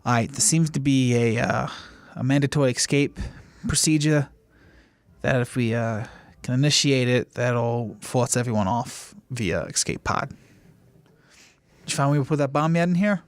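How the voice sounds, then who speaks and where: A man plays a decisive saboteur in a tabletop game, angry and blunt. He gives orders in a commanding way, with a mid-pitched voice and very dry, close sound. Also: normal speed, clear, resonant tone, dry recording, lightly acted. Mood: urgent, businesslike.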